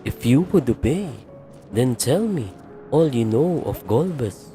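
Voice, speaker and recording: Slow, solemn gentleman adventurer. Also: mid-range voice, very animated, soft background music. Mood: inquiring, composed. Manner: formal, deliberate, archaic politeness. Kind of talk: video game voice acting.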